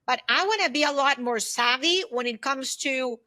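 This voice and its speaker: A woman in her fifties speaks as an interview guest. Her voice is fairly high and has a very bright, sharp edge. She talks conversationally, piecing her thoughts together in short bursts. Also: moderate pace. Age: 50s. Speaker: podcast guest, solopreneur and former aerospace executive.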